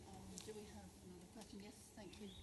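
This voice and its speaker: A woman chairing a live talk, briskly and politely calling on audience members for questions. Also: mid-range voice, little pitch movement. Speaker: event chair. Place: event venue before an audience. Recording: distant voice with background noise.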